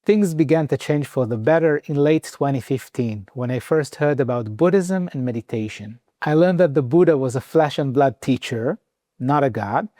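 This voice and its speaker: A man in his forties from Israel, a native Hebrew speaker with an Israeli accent, telling his own story as a meditation teacher in calm, measured scripted narration. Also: on the high side, no room sound, clean studio sound. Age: 40s.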